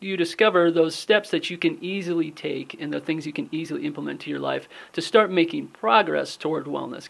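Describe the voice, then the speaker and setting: A man answers interview questions on a radio show with a slightly high voice that carries a lot of gravelly fry. He explains earnestly and steadily, with frequent "uh" fillers between his points. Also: a bit bright. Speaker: guest, holistic wellness business owner.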